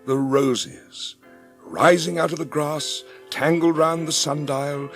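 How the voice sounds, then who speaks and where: Expressive man narrating a story over music. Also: medium pitch.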